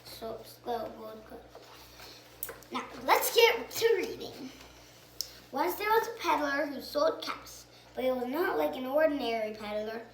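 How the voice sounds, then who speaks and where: A female storyteller reading a picture book aloud in an animated way, stopping to put questions to the listener. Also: sits high, a little slow. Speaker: storyteller on a children's read-aloud channel. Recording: roomy echo, faint background noise.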